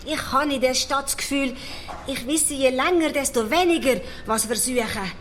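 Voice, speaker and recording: A woman voicing a stubborn, excitable little male mountain dwarf in a children's radio play, with a Swiss German accent. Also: sits high, moderate pace, slight background noise. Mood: earnest. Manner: exclamatory, stubborn, teasing.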